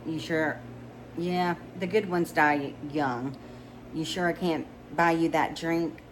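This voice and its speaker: A woman's voice: sits low, steady tone, takes it slowly, slight background noise, rough recording. A woman reading the lines of a laid-back young male musician in an easygoing, inviting way, close to her own voice.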